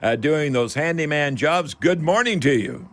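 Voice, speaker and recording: A man's voice: fairly high pitch, animated, moderate pace. A man hosting a live radio call-in show, chatting in a folksy way and often addressing the listeners directly.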